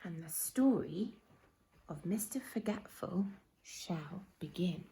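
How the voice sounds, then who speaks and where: A woman's voice: lowish voice, lively intonation, slow, mellow, a little hiss or hum, poor audio. A woman storyteller narrating a children's storybook.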